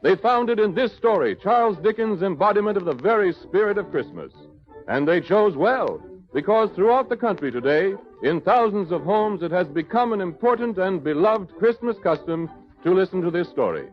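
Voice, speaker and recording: An American man announcing for a sponsor on 1930s radio, reading a script in a formal, measured, polished way. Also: sits high, very animated. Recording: dull vintage 1930s radio broadcast, music.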